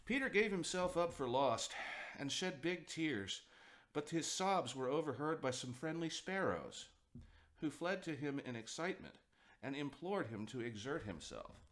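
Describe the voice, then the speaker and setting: A man's voice: a little high, lively intonation, unhurried, fairly bright tone. A man narrating a children's picture book as a storyteller, reading expressively for young listeners.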